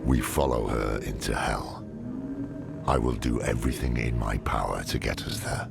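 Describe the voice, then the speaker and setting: A grim old warrior in a fantasy game. His voice is extremely low and thick with gravel, weary yet resolute, with loud music underneath. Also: normal speed, full character voice. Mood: grim resolve. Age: older. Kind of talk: cinematic video game acting, brooding narration.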